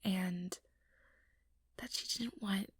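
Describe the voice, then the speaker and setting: A woman playing an ambitious, sugary, manipulative vampire in an ASMR roleplay, speaking in a breathy, whispery voice with coaxing, condescending sweetness. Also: medium pitch, steady tone, very slow, no echo, clean studio sound. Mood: falsely sympathetic. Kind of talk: ASMR roleplay, soft whispered monologue to listener.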